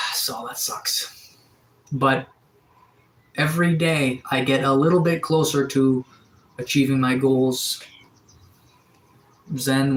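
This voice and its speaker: A man in his thirties from Atlantic Canada, chatting casually on a live stream, relaxed and rambling with lots of fillers and restarts. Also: mid-range voice, roomy sound, a little hiss or hum, poor audio. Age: 30s. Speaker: livestreamer. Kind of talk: casual live-stream chat reading viewer comments. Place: sunroom.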